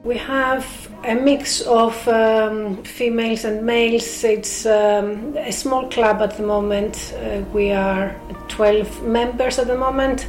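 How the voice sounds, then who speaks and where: A woman with a Greek accent, a speakers club member explaining carefully as a radio interview guest, pausing on fillers. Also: a little high, fairly even intonation, roomy sound, soft background music, rough recording.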